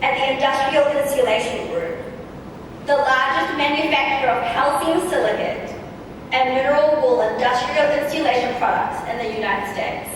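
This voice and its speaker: A woman reads a prepared award introduction at a banquet, measured and deliberate, stressing each item in her lists. Her voice sits high. The recording is distant and boomy with a long reverb tail. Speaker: award presenter. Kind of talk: formal prepared speech. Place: large banquet hall. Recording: echoey banquet hall from far off, noisy.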